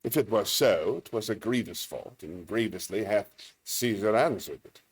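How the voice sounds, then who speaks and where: A man performing a solemn Roman funeral orator in a measured, rhetorical Shakespearean stage monologue. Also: lowish voice, expressive, breathy edge. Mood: solemn oration.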